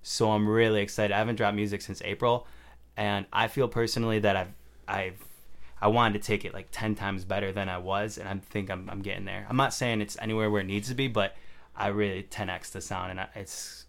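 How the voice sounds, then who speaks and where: Young guy rapper rambling along, lotta "like"s, lowish voice. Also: level tone, brisk, vocal fry, fairly bright tone. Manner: rambling, loose, full of "like" fillers. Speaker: rapper guest. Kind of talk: casual conversation.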